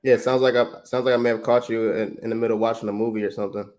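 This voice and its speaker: A man from Trinidad, hosting a livestream and making a relaxed, friendly sales call to a property owner, full of slang and quick restarts. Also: mid-range voice. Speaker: real estate investor, livestream host. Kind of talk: casual livestream chat and sales phone call.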